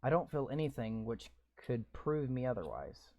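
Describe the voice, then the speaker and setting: A man's voice: medium pitch, very animated, moderate pace, darkish tone, no room sound. A man playing a visual novel, reading its text aloud and breaking in with sarcastic, swearing comments. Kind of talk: reading game text aloud with live reactions.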